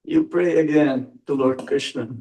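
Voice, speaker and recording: A man speaks as a monk in a question-and-answer lecture, slow and deliberate, stressing his key words. His voice sits at a middle pitch and is caught by a distant mic in a hall. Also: warm tone. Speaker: monk giving a spiritual talk.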